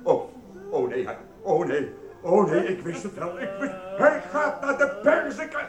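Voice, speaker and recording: A high, swooping male voice for a blustering shop worker. He is patronising and placating, nervous underneath, in a radio play. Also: expressive, moderate pace, echoey room. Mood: alarmed.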